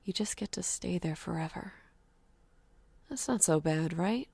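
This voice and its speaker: A woman playing a depressed, bitter young girlfriend in a dramatized audio story, with a creaky voice. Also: on the low side, a little slow, dark tone, no room sound, studio quality, exaggerated voice. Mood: quiet, wistful. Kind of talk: dramatized horror-fiction audio story acting.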